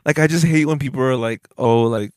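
A man's voice: mid-range voice, a little fast, darkish tone, no echo, clean studio sound. A young man co-hosting a casual podcast, laid-back and slangy, laughing often. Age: young adult. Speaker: podcast co-host. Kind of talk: casual chat. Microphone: headphones and studio mic.

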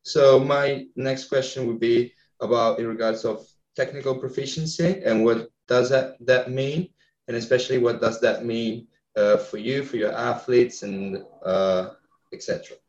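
Italian-accented male host, halting delivery, echoey dull recording. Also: mid-range voice, steady tone, darkish tone. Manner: hesitant, many fillers, searching for words. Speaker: podcast host. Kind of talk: podcast interview.

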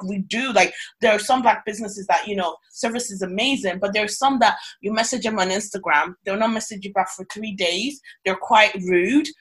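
A woman's voice: mid-range voice, a bit bright. A woman chatting casually and animatedly with friends, swearing and laughing easily. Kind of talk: casual chat among friends.

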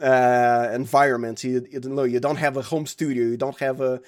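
A Brazilian man, a native Portuguese speaker, chatting casually in an interview and often restarting his phrases haltingly. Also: medium pitch, level tone. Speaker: sound designer, interview guest.

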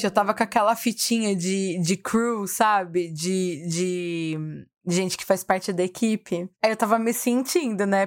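A Brazilian woman hosting a storytelling podcast, chatty and enthusiastic, talking straight to her listeners. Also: mid-range voice. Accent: Brazilian Portuguese. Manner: chatty, addresses listeners directly, warm and enthusiastic. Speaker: podcast host.

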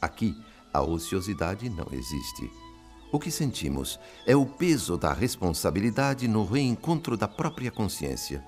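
An actor with a Brazilian accent playing a wise, kindly spirit friend in a radio drama, speaking in a theatrical, didactic way. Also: male voice, fairly low pitch, big pitch swings, unhurried, a little mellow, soft background music. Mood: earnest, instructive. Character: wise, kindly male spirit friend narrating his afterlife story.

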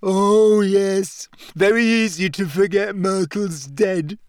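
Audiobook ghost girl played by a man: glum, touchy, high-pitched and drawn out, full of self-pity. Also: takes it slowly, clean tone, no echo, studio quality. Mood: self-pitying, aggrieved. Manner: mournful, shrill when upset. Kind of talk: audiobook character voice.

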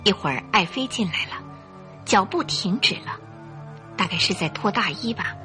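A woman's voice: medium pitch, expressive, moderate pace, sharp tone, faint music behind. A woman narrating a radio drama in a theatrical, suspenseful storytelling style. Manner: theatrical, suspenseful, clearly articulated.

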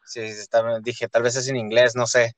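A man's voice: medium pitch, flat delivery, normal speed, no echo. A man hosting a casual podcast interview, speaking hesitantly with short prompts. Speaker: podcast host.

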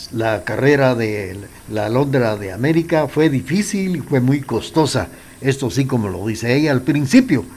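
A man's voice: medium pitch, a little mellow. Guatemalan man hosting a radio program. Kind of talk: radio music program presentation, chatty biographical commentary.

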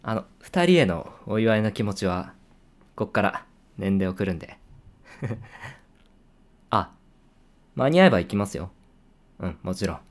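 A playful senior coworker, voiced by a young man, needles his junior in a casual, teasing way. His voice sits very low, and he takes his time over each line. It is a voice drama performance with quiet, hushed asides. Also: big pitch swings, measured pace, long pauses. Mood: apologetic. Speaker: voice actor. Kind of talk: situation voice drama.

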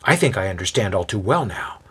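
A man plays a bold accuser in a dramatic story. He is blunt, forceful and righteous, declaiming with a widely moving pitch. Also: very animated, a little fast, slightly rough, heavily acted. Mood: blunt, scornful. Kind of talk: acted storytelling dialogue.

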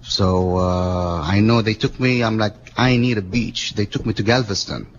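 A man's voice: fairly low pitch, normal speed. A man born in Lebanon, a business owner chatting as a guest on a radio show, rambling with many fillers. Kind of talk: casual radio interview. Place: radio studio. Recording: muffled radio broadcast with faint hiss.

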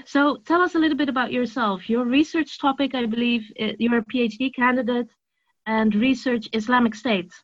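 A woman's voice: a little high, fairly even intonation, a little fast, muffled recording. A woman hosting a podcast, introducing and putting questions to a guest in a conversational interview style.

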